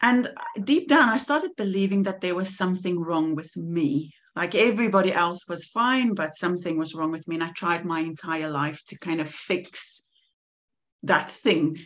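Podcast guest: South African woman in her forties, somewhat low voice, sincere and reflective. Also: moderate pace, a bit bright. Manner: earnest and reflective, laughs easily. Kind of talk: personal testimony in conversation.

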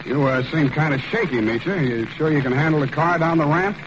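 An actor playing a wry, hardboiled private detective in an old radio drama, with dry, clipped, sardonic delivery. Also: male voice, fairly high pitch, a little fast, background noise, natural voice. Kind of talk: 1940s radio detective drama with first-person narration. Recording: muffled old radio recording with music.

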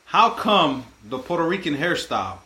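Bright, animated man in a roomy echo. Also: fairly high pitch, moderate pace. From Washington Heights, New York. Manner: animated, joking, laughs often. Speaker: podcast co-host. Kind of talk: casual chat over drinks.

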